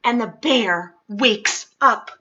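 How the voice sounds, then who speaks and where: A woman's voice: fairly high pitch, takes it slowly, pushed and bright, lo-fi sound. A woman reading a children's picture book aloud expressively, dropping to whispers at times. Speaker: storybook reader.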